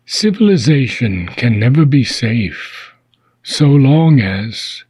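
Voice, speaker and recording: A man reading philosophical prose aloud in a solemn, deliberate manner, pausing often between phrases. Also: medium pitch, big pitch swings, darkish tone, breathy edge, no room sound. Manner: measured, deliberate, with frequent pauses between phrases. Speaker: reader of a philosophical book.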